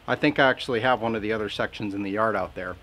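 A man's voice: lowish voice, rapid-fire, a bit bright. A man, a sawmill owner explaining his work outdoors in a plainspoken, folksy way. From Southern Indiana. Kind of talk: explaining on camera.

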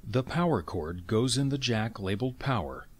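A man narrating scripted instructions in clear, step-by-step phrasing. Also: fairly low pitch, expressive, normal speed.

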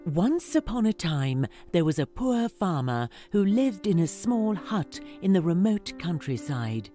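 Woman narrator, low mellow voice, slow, fairy-tale storytelling, soft guitar behind. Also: very animated.

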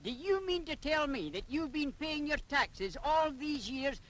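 Lilting, high-voiced Scottish explainer. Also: male voice, expressive, measured pace, a bit bright, exaggerated voice. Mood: scornful. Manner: didactic, emphatic, theatrical. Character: knowing, lecturing Scottish man explaining taxes simply. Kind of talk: 1940s public information film acting. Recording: 1940s film soundtrack.

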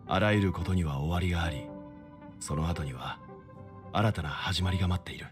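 A man playing a thoughtful, middle-aged mentor in an anime-style game, gentle, measured and reflective. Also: deep voice, close to an everyday voice. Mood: calm, reflective. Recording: music under the voice.

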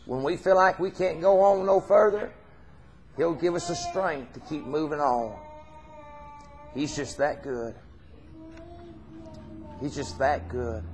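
A man's voice: a little high, slow, pauses often, soft background music. A middle-aged man with a Southern US accent preaching an informal, folksy, earnest sermon, repeating phrases for emphasis. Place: church hall stage, indoors.

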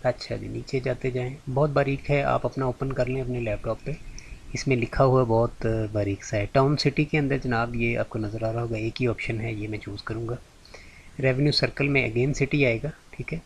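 A man's voice: mid-range voice, fairly even intonation, brisk, gravelly, slight background noise. A man giving a patient, step-by-step screen-recorded tutorial as a channel host. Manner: patient, step-by-step, repeats "theek hai ji".

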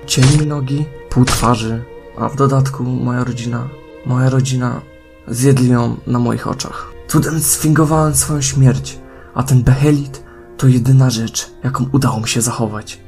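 A man voicing a terrified, mutilated survivor in a manga dub, halting and pleading. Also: mid-range voice, fairly even intonation, gravelly, darkish tone, soft background music, natural voice. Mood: frightened, grieving. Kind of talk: dramatic manga voice acting.

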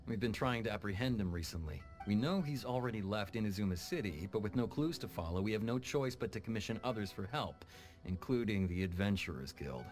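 Man playing a low-voiced stern guard. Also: expressive, fairly quick, darkish tone, faint music behind, lightly acted. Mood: firm. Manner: matter-of-fact, formal. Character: stern, official-sounding male guard in armor. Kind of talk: video game voice acting.

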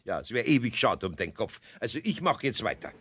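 A man's voice: lowish voice, big pitch swings, a little fast, heavy background noise. An actor playing a good-natured, sceptical middle-aged master craftsman with a Bavarian accent in a children's radio play, placating and down-to-earth.

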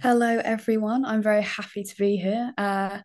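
A woman's voice: mid-range voice, little pitch movement, normal speed, a little mellow. Cheerful young woman co-hosting. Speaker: podcast co-host. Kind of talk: casual podcast chat. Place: home room, video call.

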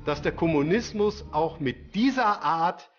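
A man's voice: on the high side, animated, a little slow, a bit bright. A man giving an unscripted expert explanation in a documentary interview. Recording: slightly dull interview sound, music underneath.

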